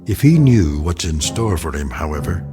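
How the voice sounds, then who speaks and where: An actor playing a wry, ominous narrator who introduces an eerie radio drama in a measured, portentous way. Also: male voice, very deep voice, sing-song. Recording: music behind the narration.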